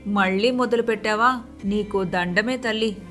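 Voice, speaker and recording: A woman voicing a kind, generous wealthy young wife in an animated moral story, with a friendly, reassuring manner. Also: mid-range voice, unhurried, soft background music, voice pushed down, natural voice. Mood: friendly teasing.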